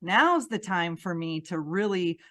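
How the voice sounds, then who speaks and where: A woman's voice: fairly low pitch, lively intonation, a little slow, bright, forceful tone. Eager woman hosting a podcast interview. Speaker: podcast host. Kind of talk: casual interview chat.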